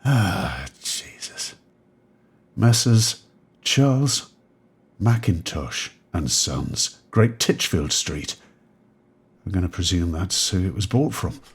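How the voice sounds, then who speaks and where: Deep, husky, unhurried haunted man. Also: very animated, exaggerated voice. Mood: excited, then frustrated. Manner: halting, musing, sweary. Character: rattled man who has crossed between eras, wry, sweary. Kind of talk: modern audio drama monologue.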